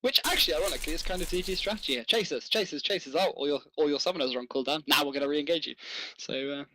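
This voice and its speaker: A man's voice: fairly high pitch, racing, bright, forceful tone, low-quality recording. A man hosting an esports match with casual, chatty commentary.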